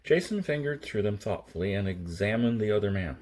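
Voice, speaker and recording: Deep, husky male audiobook narrator. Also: animated, fairly quick, darkish tone. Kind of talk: dramatic storytelling narration.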